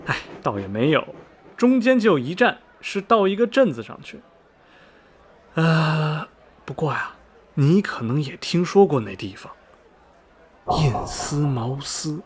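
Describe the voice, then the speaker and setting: A man's voice: fairly high pitch, very animated, measured pace, long pauses. A man playing a chatty, folksy middle-aged ticket clerk in a radio drama, rambling and exclaiming colloquially. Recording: faint background noise and ambience.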